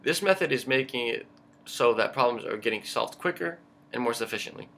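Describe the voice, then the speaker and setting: A young man, a Greek speaker, giving a prepared student self-introduction in an earnest, measured way with small stumbles. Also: mid-range voice, expressive, a bit bright, a little hiss or hum. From Pennsylvania, United States. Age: young adult, about 19. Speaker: college student.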